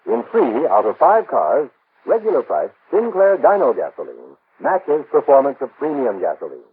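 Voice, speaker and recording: A man's voice: medium pitch, huge pitch swings, normal speed. An American man announcing from a script in the style of 1960s radio. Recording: narrow-band, noisy old radio broadcast.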